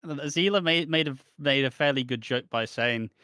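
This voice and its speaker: A man's voice: mid-range voice, normal speed, bright, forceful tone, clean tone, dry recording. Rambling British man, dry close sound. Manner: rambling, opinionated, frequent restarts. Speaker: podcast co-host. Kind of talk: casual chat.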